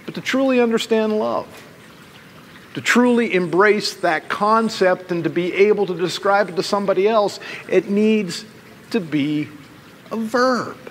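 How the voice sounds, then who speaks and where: A middle-aged American man, a pastor preaching a sermon in deliberate, emphatic phrases with pauses. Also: sits high. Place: church room with stained glass windows, indoors. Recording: long hall reverb, some background noise.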